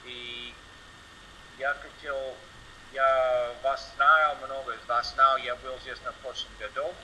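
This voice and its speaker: A man with an American accent gives an informal conference talk as a non-native speaker, halting and searching for words with broken grammar. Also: mid-range voice, steady tone, sharp tone. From United States. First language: English. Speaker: guest conference presenter. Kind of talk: informal conference talk in Russian as a second language. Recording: noisy online seminar audio.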